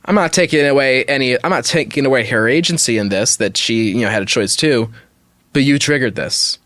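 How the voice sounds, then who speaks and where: A man's voice: medium pitch, a little fast, a bit bright, dry recording, studio quality. A man hosting a podcast, talking casually and giving opinions as he thinks aloud and qualifies his judgments. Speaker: podcast host. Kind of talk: casual opinionated discussion of online stories.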